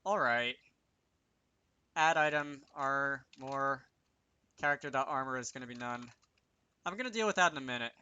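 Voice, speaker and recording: Bright-voiced man thinking aloud while coding. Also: mid-range voice, moderate pace, long pauses, smooth, dry recording, muffled recording. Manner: muttering to self, self-correcting. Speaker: host of a game programming tutorial. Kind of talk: casual screen-recorded coding tutorial, thinking aloud.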